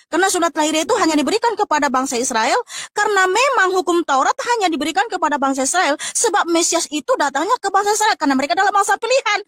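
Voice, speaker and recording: A woman with an Indonesian accent and a strikingly high voice, heard close and dry. She explains scripture emphatically, like a teacher laying out points in a debate. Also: fairly bright tone, dry recording. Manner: didactic, emphatic. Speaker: religious teacher on a panel.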